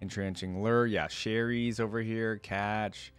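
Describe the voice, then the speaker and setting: A man with a fairly low voice hosts a reaction video. He comments casually as he watches, with music clearly audible behind him. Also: fairly even intonation, measured pace. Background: game audio and music.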